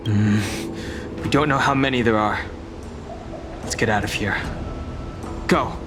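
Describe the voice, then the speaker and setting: Low-voiced, serious young warrior. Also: male voice, over music. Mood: wary, calm. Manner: composed, measured, formal phrasing. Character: calm, serious, guarded young male warrior. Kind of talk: video game voice acting.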